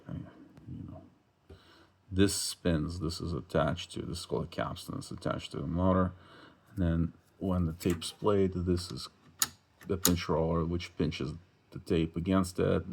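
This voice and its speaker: A man's voice: low-pitched, vocal fry, a little mellow, husky. A man giving a casual, hands-on workshop explanation as host of a repair video, informal, often correcting himself and mildly profane.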